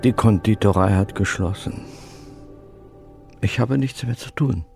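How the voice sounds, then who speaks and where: A humble, meek baker in a radio drama. He is a deferential man with a lowish, creaky voice, polite in delivery, over faint music. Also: unhurried. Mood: meek, anxious. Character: humble, deferential pastry baker of thirty years' service. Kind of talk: German radio play acting.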